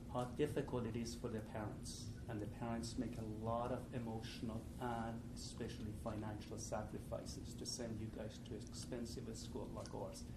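A man in his sixties with an Iranian accent, a professor giving informal, didactic opening remarks to an audience as a panelist. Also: medium pitch. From Iran. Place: live panel event in a room with an audience. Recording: echoey noisy room, distant mic.

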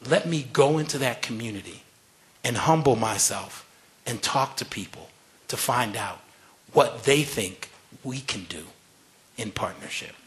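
A man on a discussion panel speaks in an earnest, urging way. His voice sits at an ordinary middle pitch. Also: lively intonation, measured pace.

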